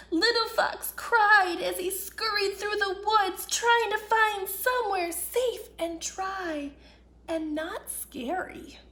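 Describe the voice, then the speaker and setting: A woman hosting a children's story time, talking and reading aloud in an expressive, deliberate way. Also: very high voice. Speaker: children's and families director reading stories. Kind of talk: warm greeting and read-aloud children's storytelling.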